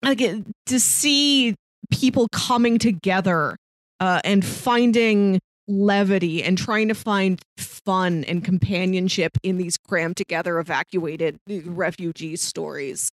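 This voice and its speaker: A woman's voice: lowish voice, measured pace, no echo. Chatty woman, dry close sound. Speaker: podcast co-host. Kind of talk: casual film discussion chat.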